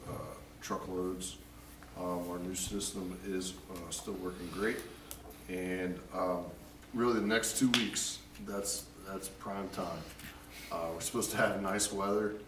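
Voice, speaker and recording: A man with a very deep voice gives a casual, halting department report, full of ums and uhs. He sits back from the mic in an echoing chamber, and background noise carries clearly. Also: distant mic. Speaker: city service department director. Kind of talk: department report at a council meeting.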